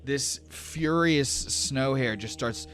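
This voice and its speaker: A man's voice: mid-range voice, takes it slowly, fairly bright tone, soft background music. A man narrating a tabletop game as game master, in a casual, descriptive way that mixes storytelling with rules talk. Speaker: game master of a tabletop role-playing podcast.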